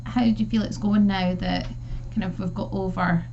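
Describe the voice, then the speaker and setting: A woman's voice: medium pitch, steady tone, normal speed, vocal fry, a little mellow. A woman hosting an interview show, asking friendly questions with some fillers. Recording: dull, slightly noisy line.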